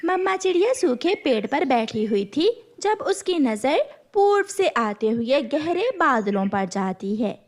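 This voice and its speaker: Children's story narrator: woman, fairly high, very animated delivery. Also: measured pace, few pauses.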